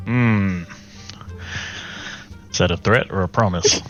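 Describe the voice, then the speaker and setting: A man with a lowish voice speaks slowly and casually as a tabletop game player. The recording is a little dull, with faint music underneath. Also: fairly bright tone.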